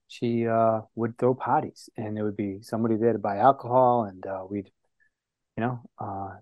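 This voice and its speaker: Man from new bedford, interview guest, reflective, many pauses and fillers, dry close sound. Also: mid-range voice, darkish tone, dry recording. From New Bedford, Massachusetts, US. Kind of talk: recounting his life story in an interview.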